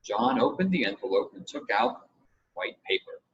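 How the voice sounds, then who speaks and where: A man reading a children's story aloud as scripted audiobook narration, in an expressive, measured way. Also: mid-range voice, breathy edge. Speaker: audiobook reader. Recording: dull audio with faint noise.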